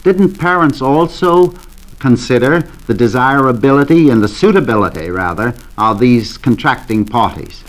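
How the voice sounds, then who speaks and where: A man with a medium-pitched voice takes part in a 1940s radio panel. His wording is formal and deliberate, as a considered guest in a studio debate. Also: animated, low-quality recording.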